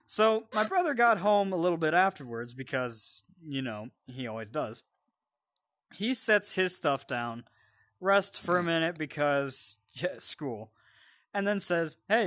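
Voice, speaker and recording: A man casually telling a personal story in an animated, sweary way, often wandering off into side details. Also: a little high, measured pace, dry recording, clean studio sound. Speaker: storyteller and co-host.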